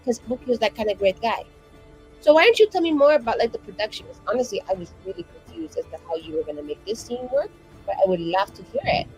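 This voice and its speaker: Rambling woman, bright and lively. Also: a little high, fast talker, soft background music, poor audio. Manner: rambling, many "like" and "right?". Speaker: player. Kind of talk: casual roleplay chat.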